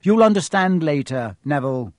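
A man's voice: a little high, lively intonation, moderate pace, no echo, lightly acted. A man voicing a hot-headed schoolboy sidekick in an audiobook, blunt and exasperated.

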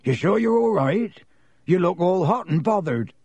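A man's voice: high voice, animated, normal speed, a little mellow, no room sound, pitched above the performer's own voice. A man voicing a huge, kindly storybook groundskeeper with a hearty, blunt, friendly manner. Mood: concerned.

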